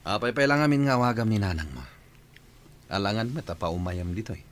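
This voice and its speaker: Male actor as a bossy, short-tempered young boyfriend, low voice leaping up as he scolds, radio drama. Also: expressive, quick pace, slight background noise, natural voice. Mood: irritated. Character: domineering, irritable young live-in boyfriend.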